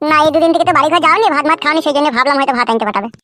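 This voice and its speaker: Someone putting on a squeaky, sharp cartoon village boy. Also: racing. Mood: whiny. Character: cheeky, simple-minded young village errand boy. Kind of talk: Bengali comedy cartoon dialogue. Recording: music behind the voice.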